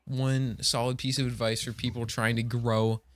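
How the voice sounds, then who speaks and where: A man's voice: mid-range voice, little pitch movement, normal speed, no echo. A man co-hosting a podcast, chatting casually and with informal enthusiasm. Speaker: podcast co-host.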